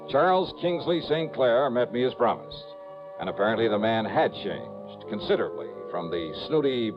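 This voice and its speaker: An American actor playing a wry, sardonic insurance investigator in a mid-century radio drama, with clipped, punchy delivery. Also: male voice, mid-range voice, lightly acted. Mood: matter-of-fact narration. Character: wry, hard-boiled male insurance investigator, brisk and sardonic. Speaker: lead actor and narrator of a detective radio serial. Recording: muffled, noisy old radio broadcast.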